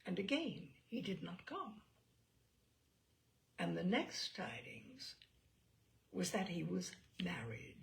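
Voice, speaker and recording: A woman narrating a literary novel in an expressive, measured storytelling manner with deliberate pauses. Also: on the low side, creaky, slight background noise. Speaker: audiobook narrator.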